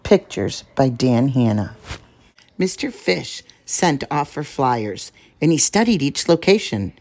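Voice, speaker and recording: A woman reading a children's picture book aloud, giving the rhymes a measured, expressive delivery. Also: a bass voice. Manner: measured, expressive rhyming narration. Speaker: storyteller.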